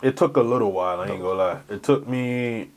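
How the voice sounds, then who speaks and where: A young man from Jamaica, a DJ guest, telling his story in a relaxed, easygoing way. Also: lowish voice, a little fast. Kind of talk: casual interview.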